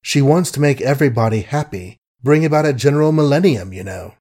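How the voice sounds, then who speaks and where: A man voicing a mild, kindly, awkward gentleman in an audiobook, speaking gently and hesitantly. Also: medium pitch, expressive, brisk, lightly acted. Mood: pleading. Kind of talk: audiobook character acting.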